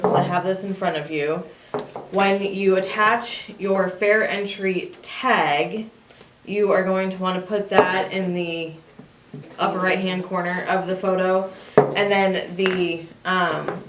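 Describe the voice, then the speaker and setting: A woman giving an informal, practical instructional walkthrough in a plain way. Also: lowish voice. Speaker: 4-H youth assistant giving a staff training. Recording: echoey room, distant mic, faint noise.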